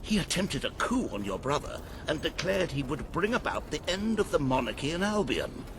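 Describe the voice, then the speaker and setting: An actor playing a fussy, formal royal advisor in a video game, speaking in a pompous, wordy manner. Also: male voice, on the high side, very animated, normal speed, background noise. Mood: grave, recounting. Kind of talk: video game cutscene acting.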